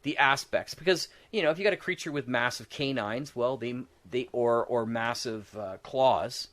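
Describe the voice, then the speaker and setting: Male podcast guest, medium-pitched, conversational, halting delivery with thoughts tumbling out. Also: fairly bright tone. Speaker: guest, audio drama creator. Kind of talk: casual podcast discussion.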